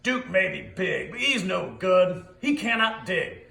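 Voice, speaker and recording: A snooty, judgmental dog acted out by a man speaks bluntly in a storybook tale. The delivery is animated in pitch. It sounds echoey and roomy. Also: measured pace, gravelly, full character voice. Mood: dismissive. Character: judgmental pack of neighbourhood dogs, blunt, snooty. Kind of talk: animated storybook read-aloud.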